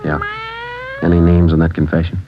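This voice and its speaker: An actor playing a terse police sergeant in a 1940s radio drama, talking in a clipped, deadpan, matter-of-fact way. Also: male voice, sits low, a little fast, background noise, close to an everyday voice. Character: terse adult male police sergeant narrating his case. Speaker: police detective and narrator. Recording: dull lo-fi 1940s radio broadcast with loud music.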